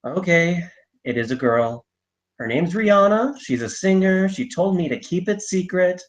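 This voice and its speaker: A restless teenage boy played by a man in a film table read, with lively, swinging intonation. He is defensive, evasive and sardonic. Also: high-pitched, normal speed. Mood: guarded.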